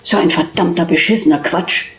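A woman voicing a desperate young man who screams himself hoarse, his voice climbing and cracking into a shrill register. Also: a little fast, reverberant, natural voice. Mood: furious, shrill. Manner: shrill, rising, breaking voice.

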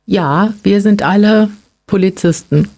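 A woman's voice: medium pitch, measured pace, a little mellow. A woman in her fifties, a police road safety teacher, answering interview questions. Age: 50s.

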